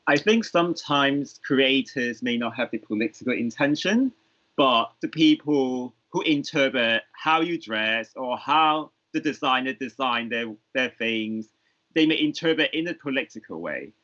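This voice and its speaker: Measured man from Hong Kong. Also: medium pitch, expressive, pushed and bright. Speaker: panel guest. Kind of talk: discussion on a video call. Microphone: video call. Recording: muffled call audio.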